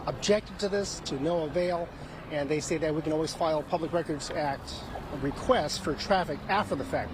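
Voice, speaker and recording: Male field reporter, slightly higher voice. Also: background noise. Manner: crisp, measured news delivery. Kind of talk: news report, live stand-up. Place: outdoors on location.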